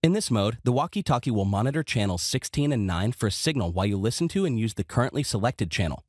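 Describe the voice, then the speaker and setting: Brisk, lively male review narrator. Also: medium pitch, no echo, studio quality. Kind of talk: scripted narration.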